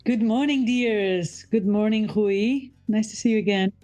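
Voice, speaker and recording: A woman from Portugal, hosting a podcast interview over a remote call, friendly and quick to laugh. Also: mid-range voice, faint music behind. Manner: friendly, laughs often, warm welcomes. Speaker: podcast host. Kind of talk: scripted intro then casual interview.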